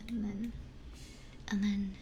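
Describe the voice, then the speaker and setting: A woman's voice: medium pitch, level tone, slow, mellow, highs cut off, slight background noise. A woman streamer chatting casually in an ASMR style, blunt and giggly, close to a binaural microphone. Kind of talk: whispered ASMR casual chat.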